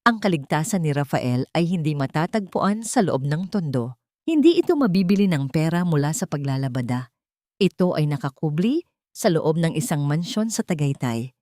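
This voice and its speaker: A woman with a Filipino accent narrating a dramatic story in a solemn, deliberate manner. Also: deep voice, very animated, darkish tone, no room sound, studio quality. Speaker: audiobook narrator.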